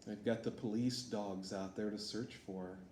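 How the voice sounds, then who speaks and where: A man's voice: on the low side, slight background noise. A man reading a storybook aloud to children as a teacher, asking them questions in an encouraging way. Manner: slow, lively, encouraging teacher talk. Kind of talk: read-aloud lesson for children. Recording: echoey room.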